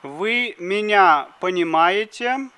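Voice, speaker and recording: A man reads drill phrases for a language lesson, pronouncing each word with great care. He speaks very slowly. His voice is fairly high and smooth. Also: lively intonation, pushed and bright. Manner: deliberate, carefully articulated phrases. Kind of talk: slow phrasebook drill reading.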